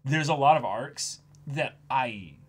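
A young man co-hosts a casual livestream. His mid-pitched voice moves up and down a lot as he rambles along in an animated, joking way. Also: slow pace, breathy edge. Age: young adult. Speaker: co-host of a manga reading stream. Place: indoor room, seated before a backdrop.

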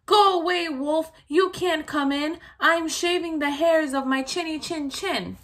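A woman's voice: sits high, a little slow, a bit bright, voice pushed up. A woman voicing a defiant little storybook pig, exclaiming in a sing-song way to shut out a wolf.

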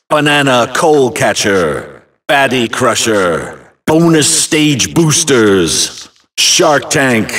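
An actor playing a dramatic video game arena announcer, calling out short names with punchy emphasis. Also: male voice, medium pitch, fairly bright tone, recorded from a distance, heavily acted.

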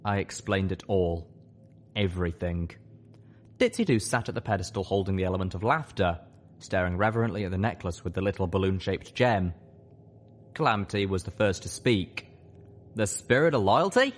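A man's voice: sits low, normal speed. A man reading scripted first-person fiction as an audiobook narrator.

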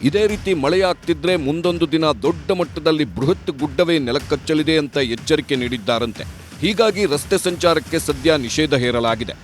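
A man's voice: on the high side, fairly quick. A man giving a scripted, emphatic and dramatic news report. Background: music bed.

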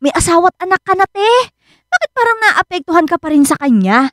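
An actress playing a blunt, exasperated best friend in a radio drama, with a Filipino accent. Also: female voice, very high voice, big pitch swings, fast, fairly bright tone, no room sound, clean studio sound, exaggerated voice.

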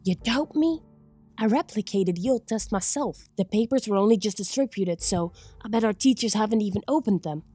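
A woman's voice: mid-range voice, expressive, moderate pace, mellow, husky, no echo, faint music behind. A woman playing a self-assured, slangy teenage gang member in a comic dub. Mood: indignant, proud. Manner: slangy, cocky. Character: smooth, self-assured teenage gang forger, proud of the work.

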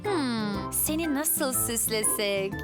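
Bubbly, high-voiced cartoon helper. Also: female voice, lively intonation, takes it slowly, music in the background. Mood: eager, pondering. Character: bubbly, helpful young woman stylist and helper. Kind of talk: dubbed children's cartoon acting.

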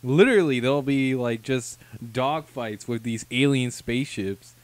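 Clear-voiced young man chatting casually. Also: medium pitch, a little slow. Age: young adult. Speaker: podcast co-host, reviewer. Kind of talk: casual review chat. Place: small room, indoors. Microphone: handheld microphone.

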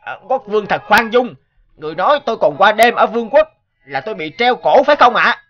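A man voicing a brash, free-spirited court clown with a mocking, theatrical manner in an animated fairy tale. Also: high-pitched, brisk, muffled recording. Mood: slyly polite.

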